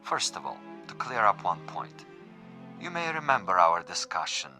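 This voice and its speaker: Urbane sadistic killer, low-pitched, swooping intonation, precise and theatrical, radio suspense drama, faint music behind. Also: male voice, very animated, normal speed, pushed and bright, exaggerated voice. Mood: smug, amused. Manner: theatrical, formal, precise, relishing every phrase. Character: urbane sadistic assassin, a gleeful gamesman taunting his prey.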